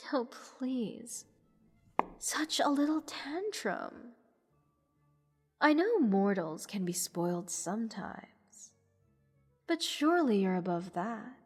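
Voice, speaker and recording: Woman voicing a playful haughty goddess, audio drama, teasing and condescending, slow delivery, long reverb, music behind. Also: a little high, very animated, darkish tone, breathy edge, exaggerated voice. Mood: taunting. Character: haughty, playful goddess toying with her captive mortal. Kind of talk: roleplay audio drama monologue.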